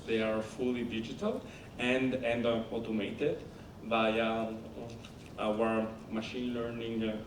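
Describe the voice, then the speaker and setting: A man with an Italian accent speaking as a company founder on a conference panel, halting and full of fillers and restarts. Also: a little mellow. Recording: echoey hall, distant mic, rumble.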